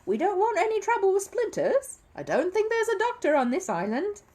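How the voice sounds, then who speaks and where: Woman putting on a loud, showy stage magician. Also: huge pitch swings, fairly quick, exaggerated voice. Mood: teasing menace. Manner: booming, declamatory showmanship. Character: grand showy male stage magician, teasing and theatrical.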